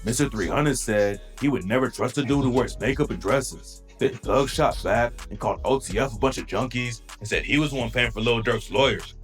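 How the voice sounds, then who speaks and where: A man delivers scripted hip-hop news narration at a middling pitch. His manner is slangy and gossipy, like a storyteller passing on drama, and faint music plays behind him. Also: brisk, few pauses.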